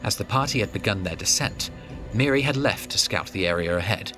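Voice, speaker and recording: A man narrating a fantasy adventure with a fairly low voice. He sets scenes in a measured, descriptive way. Loud music plays behind him. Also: animated, rough recording. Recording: background music.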